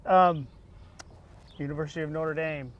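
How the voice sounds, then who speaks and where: Higher-voiced American man hosting an interview. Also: steady tone, moderate pace, dull audio. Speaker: interviewer, show host. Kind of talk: conversational sit-down interview.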